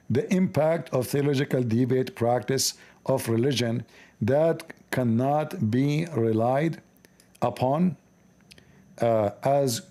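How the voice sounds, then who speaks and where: A man with an Arabic accent reading aloud haltingly and breaking off for sarcastic, joking commentary. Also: mid-range voice, a little mellow. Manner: halting, stumbling reading of English.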